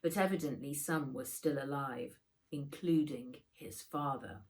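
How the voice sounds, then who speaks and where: Slow, measured woman storyteller. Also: extremely low pitch. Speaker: author reading own book aloud. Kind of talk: scripted audiobook narration for children.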